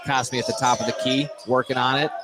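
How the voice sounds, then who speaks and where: A man's voice: medium pitch, little pitch movement, quick pace, over loud music, a loud crowd. A man doing live play-by-play basketball commentary for radio, narrating in stop-start phrases and repeating words as he follows the action. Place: indoor basketball gym. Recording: clear gym noise with bouncing basketballs.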